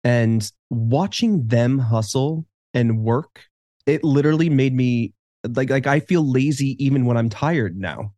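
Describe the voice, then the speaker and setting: A man's voice: mid-range voice, measured pace, mellow, no echo. A man co-hosting a podcast in casual chat, with wry, sarcastic humor. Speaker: podcast co-host.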